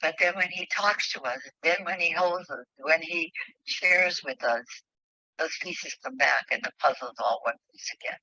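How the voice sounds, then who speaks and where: A woman who is a spiritual leader, speaking haltingly with pauses as she reads and shares devotional thoughts with a group over a phone call. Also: low voice, sharp tone, no room sound. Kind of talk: devotional reading and heartfelt group sharing. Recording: dull, dry online call audio.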